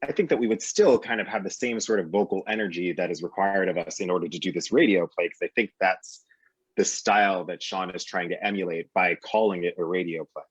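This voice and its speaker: A man with a husky voice, an actor explaining his craft on a discussion panel. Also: deep voice, brisk. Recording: roomy, slightly muffled call audio.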